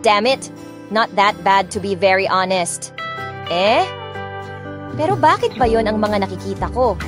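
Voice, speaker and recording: A woman's voice: mid-range voice, lively intonation, unhurried, over music. A woman from the Philippines reading a first-person romance audiobook, dramatic and indignant, switching between Tagalog and English. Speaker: audiobook narrator.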